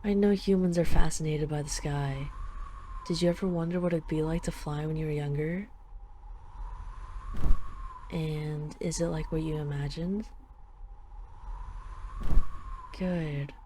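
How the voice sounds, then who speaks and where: A woman playing a dragon queen who is tender, gently teasing and possessive in an intimate roleplay audio drama. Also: low-pitched, fairly even intonation, normal speed, pauses often, slight background noise, natural voice. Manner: affectionate, gently teasing, wistful.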